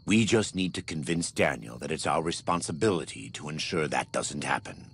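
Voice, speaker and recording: Very deep, gravelly righteous warrior preacher. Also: male voice, lively intonation, a bit bright, full character voice. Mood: grave, persuasive. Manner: solemn, measured, preacherly. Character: devout, vengeful former warlord, grave and righteous man. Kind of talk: video game dramatic dialogue.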